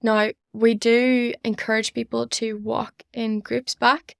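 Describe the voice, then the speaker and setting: A woman's voice: a little high, level tone, slow pace, creaky, clean tone, no echo, clean studio sound. A young woman with a Northern Irish accent giving earnest, enthusiastic answers as an interview guest. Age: young adult. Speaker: student society member, podcast guest.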